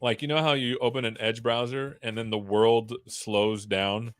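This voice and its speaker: A man's voice: medium pitch, little pitch movement, normal speed, clear, resonant tone, no room sound, studio quality, faint music behind. A man hosting a casual livestream, rambling playfully with jokey asides. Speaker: live show host. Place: own room at home.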